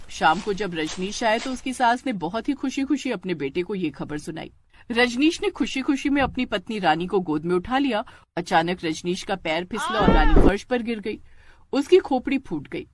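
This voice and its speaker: A woman's voice: medium pitch, sing-song, moderate pace, a little hiss or hum. A woman reading scripted narration for a family drama story.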